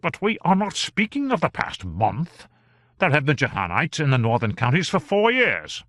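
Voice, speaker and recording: A man reading an audiobook, voicing an earnest male government gentleman who speaks emphatically and exclaims in surprise. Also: fairly high pitch, huge pitch swings, normal speed, no room sound, studio quality, voice pushed up. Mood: grave.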